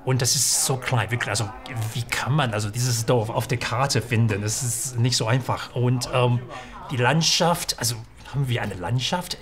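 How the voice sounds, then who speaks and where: Ein Mann aus Taiwan, Muttersprache Mandarin, mit taiwanischem Akzent, spricht im Interview in einem kleinen Restaurant zögernd, mit vielen Füllwörtern und Neuansätzen. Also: male voice, mid-range voice. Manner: hesitant, many fillers and restarts. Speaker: writer. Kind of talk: documentary interview. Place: small restaurant indoors.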